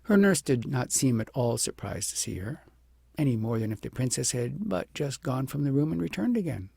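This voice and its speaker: A man narrating a storybook as an audiobook, reading in a gentle, expressive way. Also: mid-range voice, moderate pace, creaky, slightly rough, no room sound, clean studio sound.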